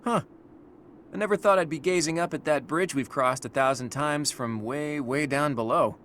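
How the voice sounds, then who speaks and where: A man's voice: fairly high pitch, normal speed, a bit bright, a little hiss or hum, natural voice. A man playing an easily amazed young man in a fantasy video game, casual and exclamatory. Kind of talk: English video game voice acting.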